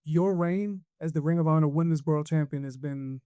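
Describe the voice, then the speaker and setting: A man's voice: fairly high pitch, moderate pace, dark tone, clean tone, no room sound. A man from a podcast asking a wrestler a playful, joking question at a press conference. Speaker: podcast reporter.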